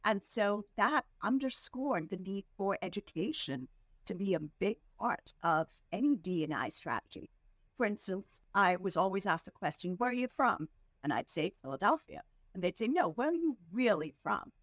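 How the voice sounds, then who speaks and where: Measured, earnest woman from India. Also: medium pitch, very animated, no echo. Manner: measured, earnest, slows down to stress points. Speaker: podcast guest, fundraising executive. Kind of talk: reflective interview answers.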